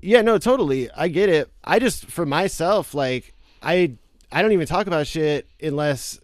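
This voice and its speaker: A man's voice: on the high side, animated, normal speed, no echo, clean studio sound. A man talking as a podcast guest in a casual, reflective way, swearing and often asking "you know what I mean?".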